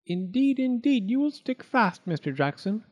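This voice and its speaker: A man's voice: high voice, very animated, moderate pace, darkish tone, muffled recording, voice pushed up. A man doing a fussy little housewife mouse. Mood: fretful. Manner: fretful, scolding. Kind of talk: storybook character voice.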